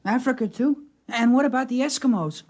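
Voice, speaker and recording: A performer playing a quiet, wondering small-town boy in a 1950s radio drama. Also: sits high, a little fast. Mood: casual. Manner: simple, wondering. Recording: restored vintage radio recording.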